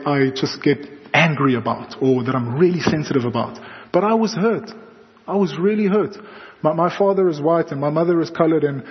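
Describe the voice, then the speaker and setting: South African preacher in an echoing hall. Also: male voice, fairly high pitch. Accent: South African English. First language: Afrikaans. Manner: conversational, personal storytelling with fillers. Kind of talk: sermon. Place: large church hall. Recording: dull sound with long hall reverb.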